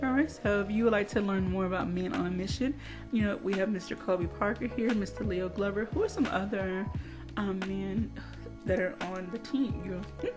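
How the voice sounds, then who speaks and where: A woman's voice: mid-range voice, normal speed, over music. A woman hosting a community radio interview. She speaks in a conversational, affirming way and laughs easily. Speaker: radio host.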